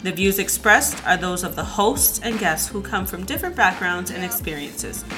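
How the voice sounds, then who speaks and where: A woman's voice: on the low side, animated, moderate pace, over music. A woman reading a scripted show disclaimer.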